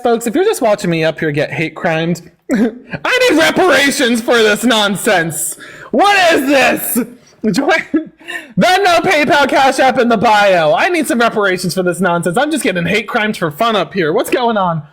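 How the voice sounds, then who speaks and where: Male stream host, needling and sarcastic, pitch jumping all over, theatrical delivery. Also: sing-song, moderate pace, fairly bright tone. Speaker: livestream debate host. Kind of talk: livestream debate chat.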